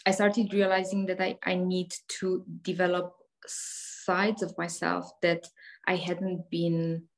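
Chatty Romanian woman, low voice. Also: level tone, slow pace. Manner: laughs often, many fillers and restarts. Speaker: podcast guest, coach. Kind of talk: casual interview talk.

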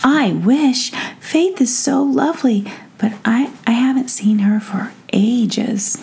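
A woman voicing a polite, sweet, excitable young girl in a children's story, earnest and gushing. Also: fairly high pitch, slow pace, creaky, slightly rough, voice pushed up, full character voice.

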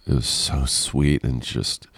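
A man's voice: rumbling low, fairly even intonation, slow, creaky, a bit bright, slightly rough, no echo. A man hosting a casual solo podcast, rambling and self-deprecating with frequent laughs, sounding congested and nasal. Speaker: podcast host.

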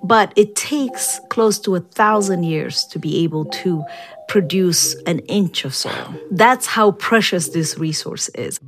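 A woman scientist speaking as an interview guest, explaining in a measured way and stressing key points. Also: on the low side, lively intonation, faint music behind.

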